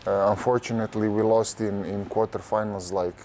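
A young man from Croatia, a Croatian-speaking basketball player, answering in an interview in a large indoor arena on a lapel mic, halting and repeating words as he searches for phrasing. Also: fairly low pitch, flat delivery, darkish tone, a little hiss or hum. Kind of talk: casual interview.

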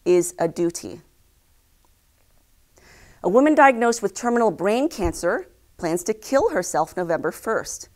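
A young adult woman reading scripted news headlines to camera as an anchor, in a formal, measured way. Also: medium pitch. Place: indoor news studio.

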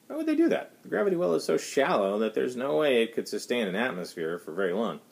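A man's voice: medium pitch, sing-song, quick pace, creaky, voice pushed up. A man reading aloud the part of a mild ship's medical officer, explaining things in a hesitant, technical way. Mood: explanatory. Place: small home study, indoors.

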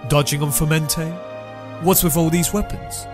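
A man's voice: on the high side, steady tone, moderate pace, over music, close to an everyday voice. A man voicing a skeptical, unflappable animal in a cartoon fandub, with a dry, challenging way of talking. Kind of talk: dramatic comic fandub dialogue.

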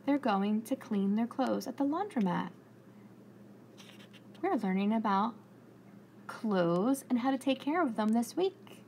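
Female preschool teacher, read-aloud with questions: encouraging, higher voice, very expressive, some room noise. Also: measured pace, pauses often. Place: indoors, school classroom.

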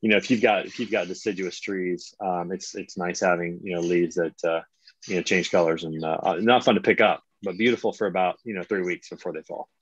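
A low-pitched American man chats as host in an upbeat, conversational way. His voice comes through the slightly dull audio of a video call. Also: steady tone, a little fast, husky, dry recording. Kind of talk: casual chat. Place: indoors at home, on a video call.

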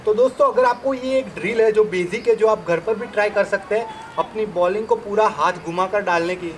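High male voice, thirties, instructional coaching, direct address to viewers, outdoors with faint ambient noise. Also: brisk, fairly bright tone. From India. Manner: demonstrating with gestures while talking, addressing viewers directly. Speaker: cricket bowling coach and video host. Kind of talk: instructional explanation to camera. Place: open rooftop terrace outdoors in a city.

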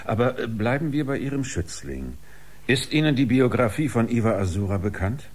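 A man's voice: medium pitch, very animated, normal speed, darkish tone. An actor playing a sceptical, sardonic man who probes with questions in a German audio drama. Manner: sardonic, probing.

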